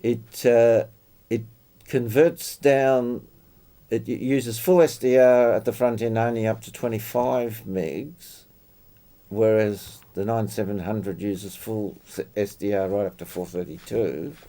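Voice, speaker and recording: A man chatting casually about technical matters as a club member, explaining details in a halting way. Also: on the low side, steady tone, mellow. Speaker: club member discussing radio gear.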